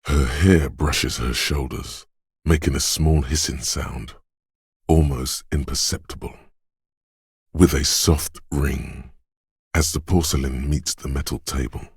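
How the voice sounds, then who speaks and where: A deep, husky inner perception voice. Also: male voice, fairly even intonation, dry recording, studio quality, exaggerated voice. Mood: hushed. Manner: slow, hushed, deliberate. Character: inner perception voice, male, watchful and intimate. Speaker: voice actor. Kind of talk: game voice-over lines.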